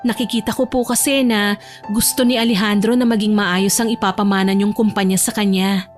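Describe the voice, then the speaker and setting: A woman's voice: medium pitch, little pitch movement, fairly quick, soft background music, natural voice. A woman voicing a humble, polite, principled young working woman in a Tagalog audio drama. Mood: humble, earnest.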